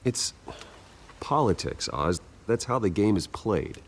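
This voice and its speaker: An actor playing a guarded, brooding billionaire in a video game, a gravelly voice and a dry, understated way of talking. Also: male voice, on the low side, animated, takes it slowly, full character voice. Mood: sober, sympathetic. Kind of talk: cinematic video game dialogue.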